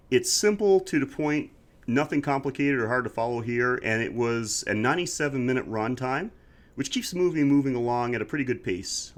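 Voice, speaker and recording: A man with a stuffy, slightly scratchy voice, chatting easily as a podcast co-host. He talks at length and runs through prepared facts in a relaxed way. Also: medium pitch. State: congested, slightly scratchy throat. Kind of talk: casual podcast chat.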